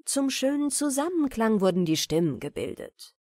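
Female storyteller, fairy-tale audiobook, lively intonation, deliberate dramatic pauses, breathy voice, close dry sound. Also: fairly high pitch, no room sound. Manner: deliberate, dramatic pauses between short phrases. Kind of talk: expressive fairy-tale narration for bedtime listening.

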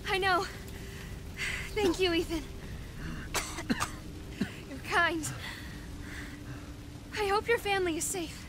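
A woman's voice: extremely high pitch, slow, pauses often, noisy background. An actress playing a frightened young village woman in a game cutscene, pleading and desperate as she grieves her father. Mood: anxious. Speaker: villager survivor. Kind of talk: video game cutscene acting.

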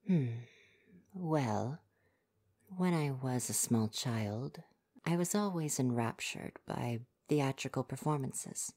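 A woman's voice: rumbling low, darkish tone, full character voice. Woman voicing a prickly young ninja boy. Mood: wistful. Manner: defensive, flustered, earnest. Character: young male would-be ninja, prickly but soft-hearted. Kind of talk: ASMR roleplay.

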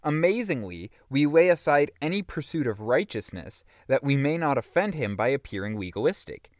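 A man narrating a religious teaching audiobook in an earnest, emphatic, deliberately phrased way. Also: medium pitch, sing-song, no echo, studio quality. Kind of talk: scripted audiobook reading of a religious teaching book.

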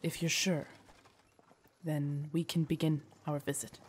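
A woman playing a loyal, gentle royal knight in an audio roleplay, formal, deferential and soothing in her measured speech. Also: deep voice, level tone, slight background noise. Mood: calm, reassuring. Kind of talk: immersive audio roleplay acting.

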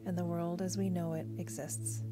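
A woman narrates a guided meditation in a fairly low, very even voice. She is calm and soothing, leaving long pauses between phrases. Prominent meditation music with singing bowls plays behind her. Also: poor audio.

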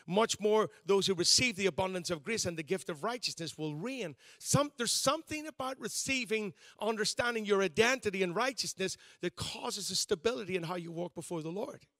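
A man's voice: high-pitched, lively intonation, a little fast, hardly any pauses, fairly bright tone, dry recording. A man, a pastor giving a conversational, self-deprecating sermon with frequent restarts. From Northern Ireland.

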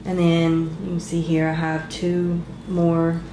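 A woman in her thirties, congested from a cold, casually showing her resin crafts at a home table with a relaxed, rambling manner full of ums. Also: on the low side, slow, echoey room, noisy background. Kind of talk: casual show-and-tell narration.

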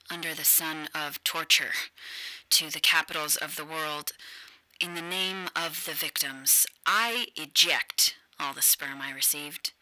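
A woman plays a vengeful, hate-filled tragic heroine in a radio drama. She declaims slowly and with solemn menace, her low voice very hard and piercing. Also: bright, forceful tone, no room sound, full character voice, poor audio. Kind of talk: dramatic radio play monologue.

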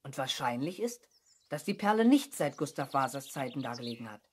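A young man playing an earnest, eager boy detective with a deliberate, self-important manner in a children's radio play. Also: medium pitch, sing-song, a little mellow, slightly rough. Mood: pondering, self-important. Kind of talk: 1970s German children's radio play acting. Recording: noisy 1970s radio play recording.

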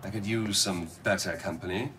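A man's voice: low-pitched, normal speed, heavy background noise, natural voice. A man acting a flamboyant, volatile middle-aged tyrant king in a video game, theatrical and mocking, swinging from silky to explosive. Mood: silky, pleased. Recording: loud game ambience behind voice.

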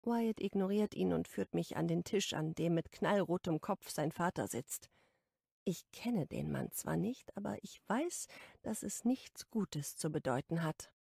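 Gravelly-voiced female audiobook narrator. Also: on the low side, animated, a little slow, dark tone, no room sound, clean studio sound. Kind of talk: first-person audiobook narration.

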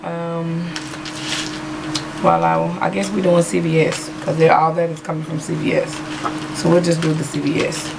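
A hoarse woman, chatting casually and rambling with many fillers as a beauty vlogger. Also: low voice, level tone, moderate pace, noisy background. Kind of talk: casual haul video chat. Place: small room at home, patterned backdrop. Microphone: webcam in front of her.

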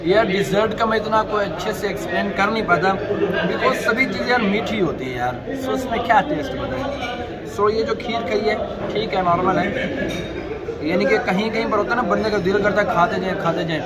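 A man's voice: sits high, expressive, moderate pace. A young man with a Pakistani accent casually reviewing food as a vlogger, informally mixing in English words. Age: young adult. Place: large indoor restaurant. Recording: loud restaurant chatter behind the voice. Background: busy crowd chatter.

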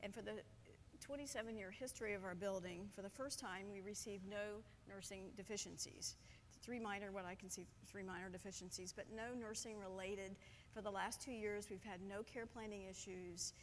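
A woman's voice: medium pitch, steady tone, a little hiss or hum. Woman panelist speaking on a conference stage. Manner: measured, with frequent pauses and ums. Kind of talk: panel discussion. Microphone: lapel.

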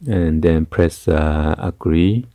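A man giving a casual hands-on tech tutorial in a halting, hesitant way. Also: extremely low pitch, mellow, no room sound. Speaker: tutorial host. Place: carpeted room indoors.

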